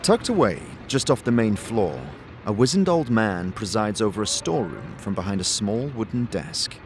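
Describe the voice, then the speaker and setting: A man's voice: lowish voice, big pitch swings, normal speed. A man giving dramatic, scripted second-person narration for a fantasy audio drama. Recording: music behind the voice.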